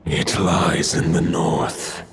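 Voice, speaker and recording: An actor playing an ancient, proud wolf god spirit in a video game, speaking in solemn, archaic phrases with a breathy growl. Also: male voice, low-pitched, flat delivery, background noise, heavily acted. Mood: grave, solemn. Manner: slow, solemn, archaic formal speech. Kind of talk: video game cutscene acting.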